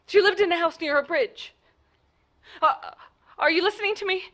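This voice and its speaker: A woman's voice: very high-pitched, moderate pace, fairly bright tone. A woman playing an anxious, imperious bedridden wife in a 1940s-style radio play, stammering and insistent in an agitated way. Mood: agitated, distressed.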